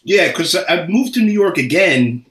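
A man's voice: high-pitched, expressive, normal speed, fairly bright tone, recorded from a distance. Laid-back man in an echoey room. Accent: slight Philadelphia. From Philadelphia. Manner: laid-back, laughs often, slangy. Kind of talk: casual chat.

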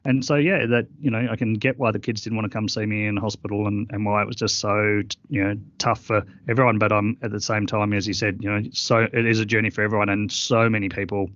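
A middle-aged man guesting on an interview, talking earnestly and haltingly with many fillers. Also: on the low side, level tone, fairly bright tone, no room sound, dull audio. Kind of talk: reflective interview conversation.

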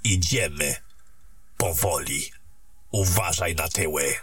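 A man acts out a seasoned wizard mentor from a fantasy radio play. The voice is deep and rough, delivered slowly and deliberately. He sounds calm, confident and used to instructing a younger companion. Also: heavily acted. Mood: wary, guarded. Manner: measured, terse, instructing. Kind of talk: fantasy audio drama acting.

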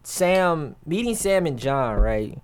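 A man co-hosting a casual podcast with friends, talking in lively, joking bursts and laughing often. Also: a little high, clear, resonant tone, dry recording. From southern United States. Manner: animated, loud, joking, quick bursts of laughter. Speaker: podcast co-host. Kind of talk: casual group chat.

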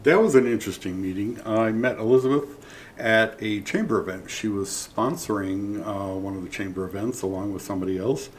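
Chatty lower-voiced man from Massachusetts. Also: brisk, slight background noise. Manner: chatty, tells anecdotes. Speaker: business partner guest. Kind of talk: casual interview storytelling.

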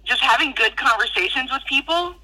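Bright-voiced woman on a car speakerphone. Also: high-pitched, animated, a little fast, low-quality recording. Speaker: parishioner phoning into podcast. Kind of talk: casual phone chat. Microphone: phone call on car speakerphone.

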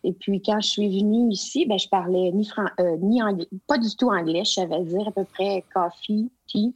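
Quebec woman, halting and chatty. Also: medium pitch, a little mellow, slightly rough, no room sound, lo-fi sound. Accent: Quebec French. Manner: hesitant, many fillers and restarts. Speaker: guest, provincial education consultant. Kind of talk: casual interview conversation.

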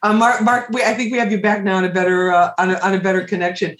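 A man's voice: high voice, flat delivery, fast, fairly bright tone, echoey room. A man hosting a casual interview, rambling through his questions with many restarts. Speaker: radio host.

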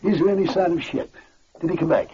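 An actor playing a kindly, trusting uncle in an old-time radio adventure. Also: male voice, sits high, quick pace, lightly acted. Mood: caring, worried. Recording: muffled old radio recording, faint noise.

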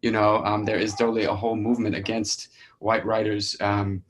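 A man's voice: on the low side, little pitch movement, fairly quick, a little hiss or hum, rough recording. A man talking casually about his writing as a playwright, hesitant and searching for his words. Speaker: playwright and student discussing his play.

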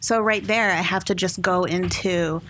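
A woman talking casually as a podcast guest, soft-spoken and quick to laugh. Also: lowish voice, steady tone, a little hiss or hum. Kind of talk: casual chat.

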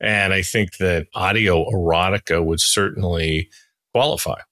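A man's voice: low-pitched, moderate pace, bright, forceful tone, no echo, clean studio sound. A man interviewing a guest conversationally as a podcast host.

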